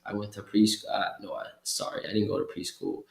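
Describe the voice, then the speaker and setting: Young man, guest, casual interview chat, somewhat low voice, loose conversational manner, echoey room. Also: flat delivery, quick pace, creaky. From Kenya. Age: young adult. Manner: loose and conversational, many fillers and restarts. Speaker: guest, community program worker. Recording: roomy echo.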